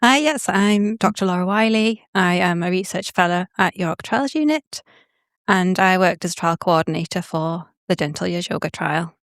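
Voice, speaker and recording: A woman with a fairly low voice introduces her research background in a modest, slightly hesitant way, with frequent fillers. Her delivery is plain and conversational. The recording is dry and close. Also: moderate pace, no echo. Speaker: research fellow guest. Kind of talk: podcast interview.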